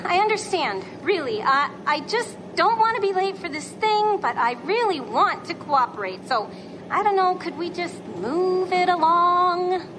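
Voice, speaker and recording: Woman acting an exasperated traveler, very high voice. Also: lively intonation, measured pace. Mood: uneasy, cooperative. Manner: hesitant, exasperated, reluctantly cooperative. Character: exasperated, hurried woman traveler held up at customs. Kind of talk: comedy sketch acting before a live audience. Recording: noisy live stage recording.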